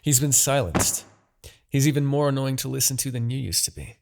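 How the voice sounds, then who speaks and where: A man playing a smug, menacing demon king, obsessed with his rival, in a theatrical, deliberate fantasy roleplay monologue with a husky, gravelly voice. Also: medium pitch, darkish tone, natural voice. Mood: gloating, menacing. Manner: theatrical, gloating, deliberate, grandiose.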